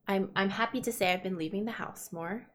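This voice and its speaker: A woman's voice: medium pitch, a little fast. A young woman hosting a casual podcast chat. She talks in a rambling, reflective way, with lots of fillers and restarts. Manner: rambling, reflective, laughs often. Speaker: podcast host. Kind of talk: casual chat among friends.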